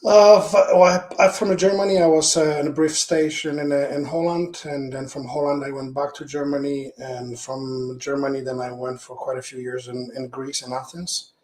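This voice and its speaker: A man answers interview questions earnestly, stopping and restarting his phrases as he recalls things. His mid-pitched voice rings in an echoey room. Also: fairly even intonation, darkish tone. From Poland. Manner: earnest, halting, restarts phrases while telling stories. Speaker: interview guest, former pro goalkeeper.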